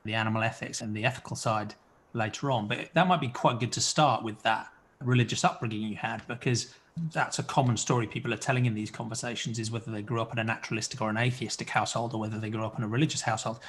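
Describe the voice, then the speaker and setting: A man's voice: medium pitch, steady tone, fast, fairly bright tone. A man hosting an interview podcast, asking encouraging questions and rephrasing them at length. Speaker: podcast host.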